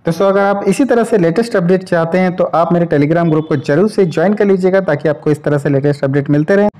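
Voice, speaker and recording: A man hosting a tech review, explaining phone software in a chatty, repetitive way with English tech terms mixed in. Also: on the high side, quick pace. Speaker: tech channel host.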